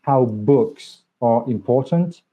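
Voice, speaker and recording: A man's voice: medium pitch, lively intonation, takes it slowly, breathy edge. A man interviewing a film director at a festival, asking questions in an easy, encouraging way. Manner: conversational, encouraging. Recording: dull lo-fi sound.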